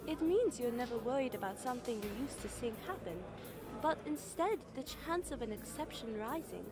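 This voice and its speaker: A woman acts as an earnest young military analyst in a radio drama. Her voice is moderately high and her manner is polite and explanatory. Clear music runs behind her. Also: lively intonation, normal speed, natural voice. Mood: earnest, informative. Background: loud music.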